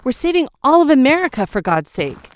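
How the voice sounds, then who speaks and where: A woman's voice: high voice, sing-song, brisk, a little hiss or hum. A woman acting as an earnest, emotional doctor, a ghostly companion to a survivor, in a radio drama. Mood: urgent, pleading.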